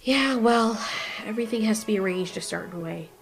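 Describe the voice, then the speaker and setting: A woman's voice: mid-range voice, fairly quick, vocal fry, lightly acted. A woman voicing a tired, guarded female moth kaiju who answers curtly and evasively. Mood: weary. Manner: curt, evasive.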